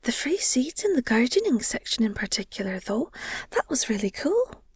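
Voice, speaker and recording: A woman playing an affectionate, nerdy werewolf girlfriend in an intimate audio roleplay, soft and breathy, often near a whisper, speaking tenderly. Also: on the high side, big pitch swings, no echo, clean studio sound, full character voice. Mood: curious, warm. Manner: gushing, tender, hesitant with pauses and sighs.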